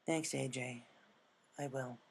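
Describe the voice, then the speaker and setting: A narrator playing a subdued, halting young pegasus mare. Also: medium pitch, steady tone, creaky, breathy edge, full character voice. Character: downhearted, anxious young female pegasus pony.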